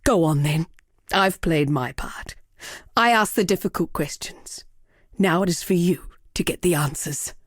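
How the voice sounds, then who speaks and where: An actress playing a proud, sardonic villainess and world-weary killer in a video game, speaking in a theatrical, measured way. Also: female voice, fairly low pitch, no room sound, clean studio sound. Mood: weary, resigned. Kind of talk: dramatic scripted game dialogue.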